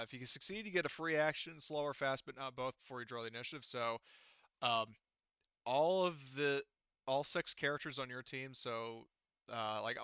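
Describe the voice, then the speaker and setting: Male game master, bright and clear, informal and sweary, dry but slightly lo-fi voice-chat sound. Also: medium pitch, dry recording. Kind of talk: running a tabletop roleplaying game, casual explaining.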